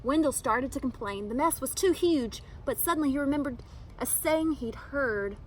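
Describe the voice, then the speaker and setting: A woman reading a children's picture book aloud in an animated, emphatic storytelling manner. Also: high voice, normal speed, a little hiss or hum. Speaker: storybook reader.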